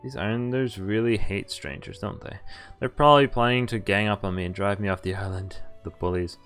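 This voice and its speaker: Low-voiced man reading a game aloud. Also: fairly even intonation, fairly quick, a bit bright. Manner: stumbles over words and rereads. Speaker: streamer. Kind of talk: reading visual novel text aloud. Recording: music behind the voice. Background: game music.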